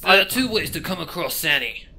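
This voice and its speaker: A man voicing a solemn pony who knows demon lore, speaking gravely and deliberately in a fan fiction reading. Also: high voice, animated, sharp tone, a little hiss or hum, exaggerated voice. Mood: cryptic.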